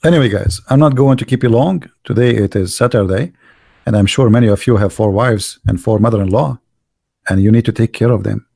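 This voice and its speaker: A man's voice: lowish voice, a little fast, gravelly, mellow, husky. A middle-aged man hosting a live podcast, talking in a sarcastic, mocking way in broken, English. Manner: sarcastic, heavy accented English with broken grammar. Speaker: host of a religious debate show.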